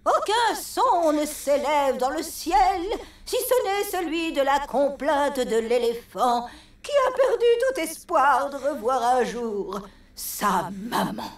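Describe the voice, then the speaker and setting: A woman voicing a melodramatic elephant poetess who recites mournfully in a grandiose, drawn-out way in a children's cartoon. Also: sits high, very animated, exaggerated voice. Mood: tragic, mournful. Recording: echoey stage, distant mic, faint noise.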